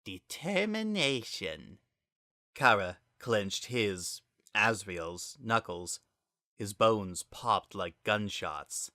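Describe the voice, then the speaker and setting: Story narrator, mid-pitched and forceful, intense and suspenseful, measured delivery, close dry sound. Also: no echo. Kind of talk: scripted dramatic story narration.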